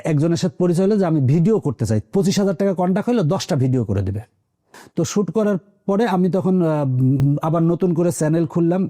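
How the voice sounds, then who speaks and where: A Bangladeshi man telling his life story as an interview guest. His voice sits a little high but is very dark and soft in tone, and he speaks casually, haltingly circling back over his words.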